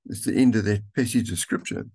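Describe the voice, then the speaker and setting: An older man, a pastor, reading scripture aloud and preaching a sermon slowly and deliberately from a room at home. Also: on the low side, fairly even intonation, a little mellow, husky. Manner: slow, deliberate reading with stretched words. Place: home room with curtains, indoors.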